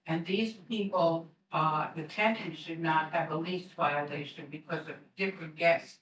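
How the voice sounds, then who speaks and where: An older woman reads her comment slowly and earnestly at a public meeting, pausing often between points. She sounds set back from the microphone, with the echo of a large room around her voice. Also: deep voice, steady tone, a bit bright. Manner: earnest, measured, with many pauses. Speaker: tenant giving public comment. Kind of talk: reading prepared public comment. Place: community room at a board meeting, seated. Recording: roomy sound from a distant mic.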